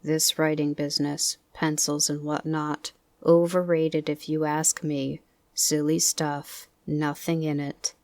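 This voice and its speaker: A woman reading a storybook, voicing a gloomy, self-pitying old male donkey in a slow, mournful, pompous way. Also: low-pitched, level tone, a little mellow, dry recording.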